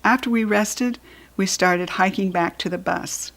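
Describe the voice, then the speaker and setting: A woman with an American accent narrating a children's storybook expressively, with animated, dramatic emphasis. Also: mid-range voice, unhurried. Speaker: storybook reader.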